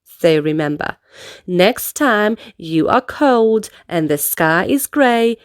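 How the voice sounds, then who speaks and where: A woman reading a picture book aloud to young children in an animated, expressive storytelling style. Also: medium pitch, slow, clear, resonant tone. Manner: animated, addresses young listeners directly.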